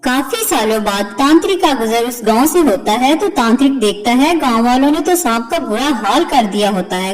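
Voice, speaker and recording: A woman's voice: high-pitched, darkish tone. A woman narrating a scripted moral story for a cartoon as the storyteller. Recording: long hall-like reverb.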